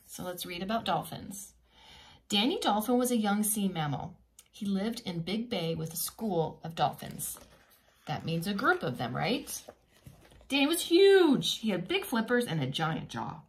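A woman preschool teacher reading a children's picture book aloud in an expressive, teacherly way with simple asides. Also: mid-range voice.

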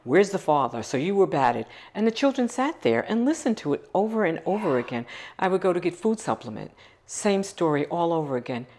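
A middle-aged woman speaks with a low, full voice, pressing her points with passion and emphasis. She sits for a relaxed interview on a living room couch, recorded close on a lapel mic. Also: fairly quick. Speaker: foundation CEO. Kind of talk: interview conversation.

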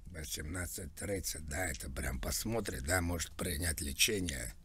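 A man's voice: extremely low pitch, moderate pace, fairly bright tone, a little hiss or hum. A man making a prank call, posing as an older man and talking in a plaintive, rambling, mock-naive way. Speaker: prank caller.

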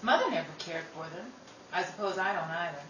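A woman playing an outspoken, quick-tempered young working sister in an old-time radio style drama, brisk and dramatic. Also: fairly low pitch, animated, close to an everyday voice. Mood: wry, chatty. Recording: roomy, distant mic, noisy.

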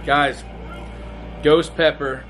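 Excitable bearded vlogger, talking to camera. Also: male voice, a little high, drawn-out words, a little hiss or hum. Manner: enthusiastic, rambling storytelling. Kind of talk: casual vlog. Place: inside a car, then a hotel room. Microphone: handheld camera at arm's length.

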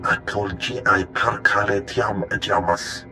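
A man playing a gruff male alien in a video game, with a weighty delivery. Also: on the low side, fairly even intonation, slow pace, bright, forceful tone, soft background music, exaggerated voice. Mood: grave. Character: gruff alien male speaking an invented tongue. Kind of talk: scripted video game acting.

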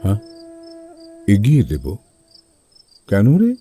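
A man acting a weary, honour-bound middle-aged father in a sad audio drama, grave and gently admonishing. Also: lowish voice, wildly swooping pitch, slow pace, soft background music, heavily acted. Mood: gentle concern.

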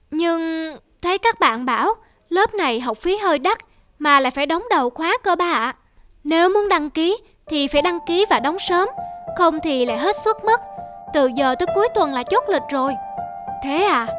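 Woman doing cartoon voices, really high and bright, young-sounding, faint music. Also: no echo, studio quality. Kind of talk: voicing several cartoon characters.

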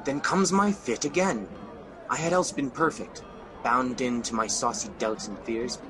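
A man's voice: mid-range voice, a little slow, over music, natural voice, poor audio. A man playing a guilt-haunted king in a radio drama, speaking in a theatrical manner. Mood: cold. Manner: theatrical, swings from host to horror. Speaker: actor.

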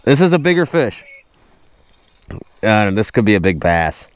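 Excitable man narrating his fishing. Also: fairly low pitch, unhurried, clean tone. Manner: excitable, exclaims at each catch. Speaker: host. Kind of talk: casual fishing vlog commentary. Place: outdoors on a frozen lake. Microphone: wearable action camera.

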